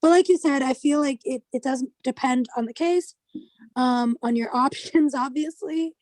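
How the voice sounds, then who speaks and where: A woman's voice: sits high, normal speed, darkish tone, no room sound, low-quality recording. A woman leading a casual group discussion, thinking aloud in a tentative way. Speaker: study group discussion leader.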